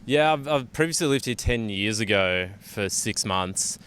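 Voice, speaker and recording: A man's voice: lowish voice, expressive, a little slow, fairly bright tone, a little hiss or hum. A man answering a street interview with a hesitant, filler-heavy manner. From Australia.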